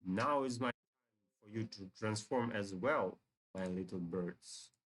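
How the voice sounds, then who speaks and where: A man's voice: lowish voice, slow pace, a bit bright, pitched below the performer's own voice. A man voicing a scheming, taunting necromancer villain from a fantasy game, with grandiose phrasing. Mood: menacing.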